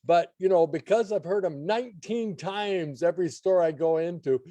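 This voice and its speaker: A man's voice: high-pitched, moderate pace, dark tone, dry recording. A man giving opinions as a regular guest reviewer in casual, conversational radio chat.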